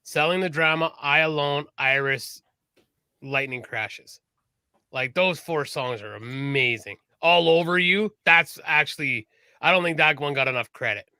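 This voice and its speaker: A man's voice: a little high, unhurried, bright, forceful tone, smooth, no room sound. A man co-hosting a casual live-streamed podcast, chatty and enthusiastic about music, swearing casually. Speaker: podcast co-host.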